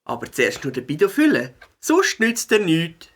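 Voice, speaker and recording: A woman's voice: fairly low pitch, wildly swooping pitch, normal speed, full character voice. A woman playing a plucky little beaver who loves mountain biking in a children's audio play, talking expressively with a Swiss German accent. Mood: eager, busy.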